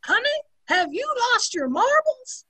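A woman's voice: extremely high pitch, big pitch swings, a little slow, pushed and bright, exaggerated voice. A woman in her sixties playing a sardonic, long-suffering, wisecracking maid in an old-time radio comedy, recorded over a video call. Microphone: computer mic on video call.

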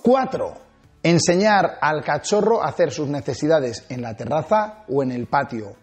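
A man in his forties from Spain hosting a dog-training video, talking to camera with animated gestures and emphatic swings in pitch. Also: moderate pace, soft background music. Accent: Spanish from Spain. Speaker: dog trainer and channel host. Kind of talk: energetic tips video to camera. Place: small indoor studio set with shelves. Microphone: lapel.

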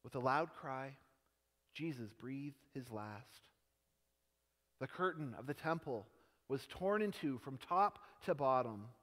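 A young man reading scripture aloud in a large church sanctuary, slow and measured, his phrases dropping softly at the ends. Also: mid-range voice, expressive. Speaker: scripture reader. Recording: long church hall reverb.

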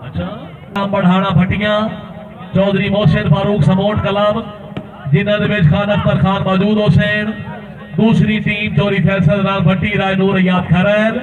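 A man with a Punjabi accent doing excited, exclamatory live sports commentary, praising players and calling for applause. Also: high voice, moderate pace. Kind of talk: excited volleyball commentary with verse and crowd calls. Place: open-air dirt sports ground with spectators. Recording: distant, dull outdoor PA with noisy crowd.

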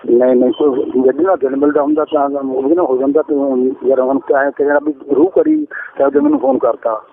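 A middle-aged man with an Indian Punjabi accent on a tinny, hissy phone line. His high-set voice swoops around as he rambles insistently, repeating himself in private conversation. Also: expressive, fast talker, vocal fry. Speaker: caller, father-in-law. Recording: noisy, tinny recorded phone call.

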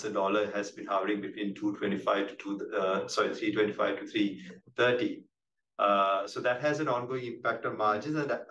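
A man with a Sri Lankan accent answering questions as a company executive on an earnings call, halting and measured, often repeating words. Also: on the low side, fairly bright tone. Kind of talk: business presentation, answering questions. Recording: roomy, distant mic with clear background noise.

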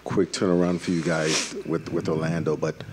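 A man's voice: rumbling low, little pitch movement, moderate pace. A man working as a sports reporter, hesitantly putting a question at a press conference. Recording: noisy room, off-mic questioner.

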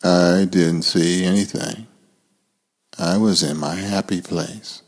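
A dreamy, placid big storybook buffalo, put on by a man. The voice is dropped low and husky, echoing in a reverberant space. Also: steady tone, takes it slowly, heavily acted. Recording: reverberant hall-like room echo.

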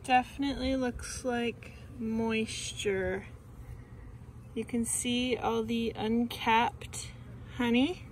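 A woman's voice: a little high, steady tone, takes it slowly, poor audio. A woman in her thirties from Canada, talking casually and sounding let down as she inspects a beehive in her backyard, filmed close on a phone. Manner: disappointed, thinking aloud while inspecting a hive. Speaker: beekeeping vlogger. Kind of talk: casual vlog commentary. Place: outdoors in a backyard bee yard. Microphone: phone camera held close, selfie framing. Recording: loud outdoor noise on a phone mic.

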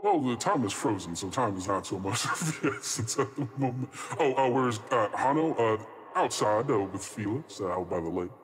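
A man's voice: medium pitch, lively intonation, normal speed, lightly acted. A man voicing a chatty, courteous trickster spirit in a tabletop game, hedging and rambling politely as he goes. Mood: chatty, evasive. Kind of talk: improvised tabletop roleplay. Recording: long reverb on the voice.

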